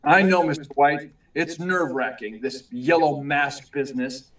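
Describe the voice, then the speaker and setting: An actor playing a meek, earnest young newspaper reporter in a 1940s-style radio serial, hesitant and polite, recorded at a distance. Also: male voice, on the high side, moderate pace, a bit bright, natural voice. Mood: concerned. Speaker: actor in a live radio play. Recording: distant, far from the mic.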